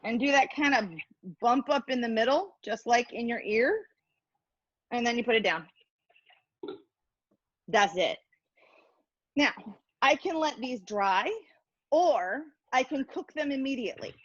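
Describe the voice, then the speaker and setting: Chatty goofy woman doing a cooking demo, pretty high voice, dry close sound, bit muffled. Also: expressive, measured pace, pauses often, slightly rough, no echo. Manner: playful, chatty, self-deprecating. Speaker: cooking instructor. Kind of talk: casual hands-on cooking demonstration.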